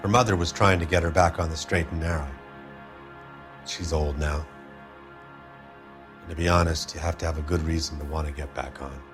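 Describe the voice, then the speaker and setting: Weary 40s fella, real low voice, subdued, faint music behind. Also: male voice, fairly even intonation, moderate pace, long pauses. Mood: wistful, subdued. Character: downbeat, world-weary male friend of a murdered woman. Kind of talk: 1940s crime game dialogue acting.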